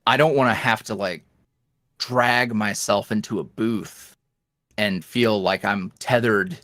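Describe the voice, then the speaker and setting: A man guest on a podcast, an ASMR roleplay creator, talking candidly in a rambling, filler-heavy way that swings from lively bursts to low mutters. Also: takes it slowly, dry recording, clean studio sound. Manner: swings between animated highs and low mutters. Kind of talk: casual interview chat.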